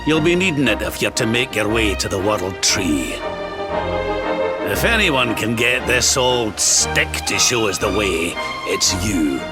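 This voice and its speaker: An actor playing a kindly older adventurer, a wise mentor in a video game. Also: male voice, fairly low pitch, expressive, a little slow, over loud music. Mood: solemn. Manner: coaxing, avuncular.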